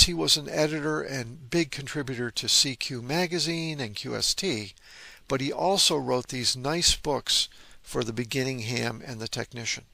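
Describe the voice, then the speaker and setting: Gravelly man narrating as a hobbyist. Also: mid-range voice, pushed and bright, dry recording. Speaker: host of a vintage radio hobby channel. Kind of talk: informal narration on vintage radio gear.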